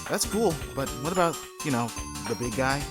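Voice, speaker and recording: A man's voice: medium pitch, lively intonation, normal speed, fairly bright tone, faint music behind, lightly acted. Guy doing a cocky young cartoon hedgehog hero, sarcastic, laid back, midrange voice. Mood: friendly, casual. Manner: casual, sardonic. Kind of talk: comic book fan dub cartoon acting.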